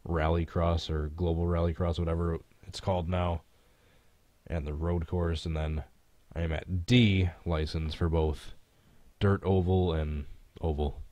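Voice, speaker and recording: Low-voiced congested man streaming casually. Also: fairly even intonation, unhurried, warm tone, no room sound. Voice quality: congested, stuffy nose. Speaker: sim racing live streamer. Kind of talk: casual live stream chat and game commentary.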